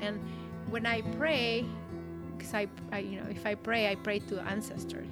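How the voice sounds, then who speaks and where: A woman from Mexico speaks, a writer recounting her own story in an earnest, reflective way. She also recites a poem. Music plays loudly under her voice the whole time. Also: mid-range voice, steady tone, normal speed. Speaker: writer and journalist. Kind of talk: personal storytelling and poetry reading.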